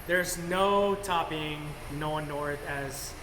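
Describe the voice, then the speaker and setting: Higher-pitched man in an echoey, noisy room. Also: takes it slowly. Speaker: movie review co-host. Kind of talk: casual unscripted film review chat. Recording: echoey reverberant room, clear background noise.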